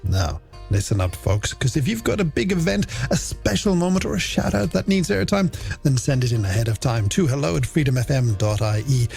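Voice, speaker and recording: Animated Irish male late-night radio DJ. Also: medium pitch, brisk, vocal fry. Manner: playful, theatrical, polished delivery. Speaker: late-night radio music show host. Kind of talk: radio DJ presenting, game segments and shout-outs. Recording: music bed under the voice. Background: music.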